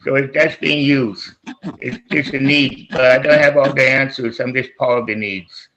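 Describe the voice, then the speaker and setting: A man's voice: medium pitch, fairly even intonation, moderate pace, bright, forceful tone, a little hiss or hum. A man on a panel giving blunt, colloquial, unscripted opinions over a video call. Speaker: panelist, community artist. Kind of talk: impromptu opinionated remarks. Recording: muffled video-call audio.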